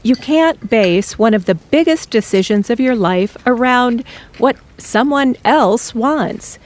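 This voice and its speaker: A woman's voice: fairly high pitch, expressive, slight background noise. An actress playing a middle-aged mother who firmly presses her daughter about her future plans. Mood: earnest. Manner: firm, measured, blunt. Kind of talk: audio drama acting.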